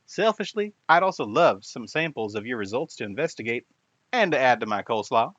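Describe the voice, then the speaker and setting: A man's voice: medium pitch, sing-song, fairly quick, a bit bright, no room sound. Eccentric academic gent, acted by a man, eager and precise, melodramatic intonation, dry lo-fi memo sound for audio drama. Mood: eager, encouraging. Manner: theatrical, swooping, precise academic delivery. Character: Doctor Perry Wrinkle, eccentric, enthusiastic male soil science professor.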